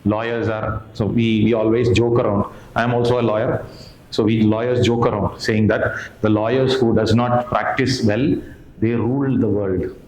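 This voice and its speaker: A man's voice: fairly low pitch, level tone, moderate pace, darkish tone. A middle-aged Indian-accented man giving an informal exam-analysis lecture as a history teacher, emphatically repeating phrases and asking questions he answers himself. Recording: roomy echo, clear background noise.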